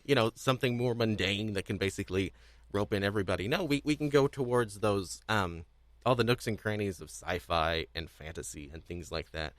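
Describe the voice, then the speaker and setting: Low-pitched, rambling man on a podcast. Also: no echo. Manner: rambling, hesitant phrasing. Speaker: podcast co-host. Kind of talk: casual panel chat.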